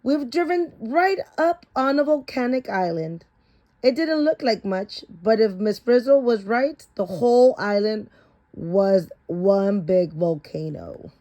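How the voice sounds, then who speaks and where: A woman's voice: medium pitch, lively intonation, slow, dark tone. A woman voicing an unflappable female schoolteacher in a storybook, declaring facts to her class. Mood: instructive, eager.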